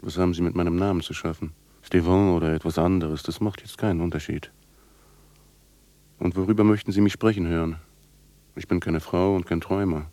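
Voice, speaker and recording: An actor playing a haunted, defiant outcast with a brooding, poetic delivery in a literary radio drama. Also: male voice, low voice, steady tone, a little fast, pauses often, warm tone, dry recording, natural voice. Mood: bitter, resigned.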